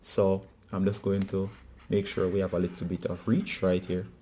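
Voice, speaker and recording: A man's voice: low-pitched, little pitch movement, normal speed, warm tone. A man presenting a casual hands-on how-to tutorial, talking directly to viewers.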